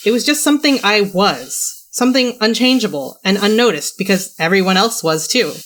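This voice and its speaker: Reflective young woman narrating an essay. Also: medium pitch. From Orange County, California. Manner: reflective, measured, wry. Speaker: video essayist. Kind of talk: scripted personal essay narration.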